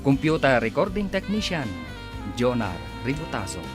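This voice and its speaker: A man's voice: mid-range voice, very animated, normal speed, over music. A man narrating a radio drama serial as its announcer, in a theatrical, declamatory scripted style.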